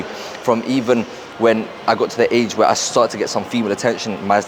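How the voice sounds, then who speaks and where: Fast-talking London man in a gym. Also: lowish voice, noisy background. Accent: London English. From South London, England. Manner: fast, rambling, repeats himself. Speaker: fitness and mindset coach. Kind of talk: casual motivational vlog to camera. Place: busy gym. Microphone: clip-on mic.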